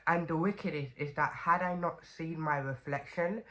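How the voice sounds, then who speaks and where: A woman's voice: deep voice, moderate pace, pushed and bright. A young woman with a stuffy, congested voice from a cold, chatting casually to camera as a vlogger with lots of fillers and "okay?" asides.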